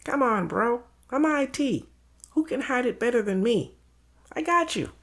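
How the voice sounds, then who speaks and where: A man playing an excitable, loyal streetwise buddy in a crime audio drama, talking in animated, incredulous slang. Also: very high-pitched, measured pace, full character voice. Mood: eager, loyal.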